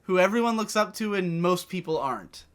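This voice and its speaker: A man's voice: sits high, animated, brisk. A young man in his twenties chatting casually as co-host, rambling with restarts and casual swearing. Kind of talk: casual chat.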